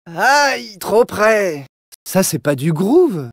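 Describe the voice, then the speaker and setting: A young, plucky gentleman thief in a French video game dub. His delivery is showy and cocky but loyal at heart, built on punchy catchphrases. The pitch swings in a lively way, and the sound is close and dry. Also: very slow, dry recording, heavily acted. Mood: upbeat, cocky.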